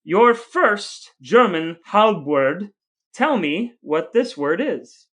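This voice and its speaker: A man with a voice a bit higher than most hosts a casual talk show. He jokes and teases in relaxed back-and-forth, playing the part of quizmaster for his co-host. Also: extremely slow. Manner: joking, teasing. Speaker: podcast host, playful quizmaster. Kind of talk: casual banter between brothers.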